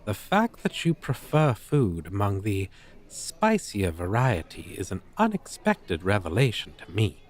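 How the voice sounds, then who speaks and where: Male actor as a haughty male dragon teacher, slow deliberate delivery, swooping intonation, pompous formal diction, ASMR roleplay. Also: on the low side, huge pitch swings, heavily acted. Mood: intrigued. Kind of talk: ASMR roleplay, character acting.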